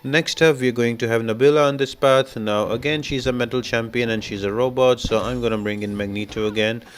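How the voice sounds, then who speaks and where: A man's voice: mid-range voice, fairly even intonation, a little fast, faint music behind. A man giving instructional, step-by-step commentary as the host of a video game guide. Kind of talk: game walkthrough commentary, explanatory.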